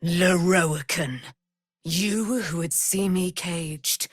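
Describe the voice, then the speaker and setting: A woman's voice: on the low side, very slow, breathy edge, dry recording, clean studio sound, heavily acted. An actress playing a proud, immortal holy warrior woman in a fantasy game, speaking in grand, solemn, formal phrases. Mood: scornful. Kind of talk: theatrical fantasy game voice acting.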